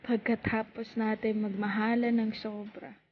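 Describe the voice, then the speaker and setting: A woman with a Filipino accent performing heartfelt spoken-word poetry in short, deliberate phrases with pauses. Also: on the high side, flat delivery, slight background noise. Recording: tinny phone-like sound.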